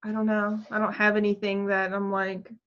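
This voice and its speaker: A woman in her thirties rambling through answers on a video call, full of ums and trailing thoughts. Her voice is bright and mid-pitched. The webcam audio is dull and lo-fi. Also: monotone, moderate pace. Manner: rambling, hesitant, filler-heavy. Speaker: co-host. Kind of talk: casual video-call chat. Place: home room.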